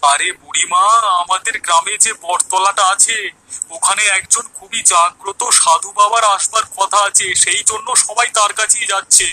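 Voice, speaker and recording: Guy doing an excitable devout village fella in a cartoon, really sharp voice, bit of music behind. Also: high voice, normal speed, low-quality recording. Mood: eager, informative. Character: eager, devout young village man. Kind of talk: Bengali animated moral story acting.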